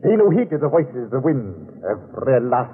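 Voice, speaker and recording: A man's voice: medium pitch, huge pitch swings, normal speed. An actor playing a stern, pious, unyielding demolition man in an old-time radio drama, with an emphatic theatrical delivery. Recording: dull, noisy vintage radio recording.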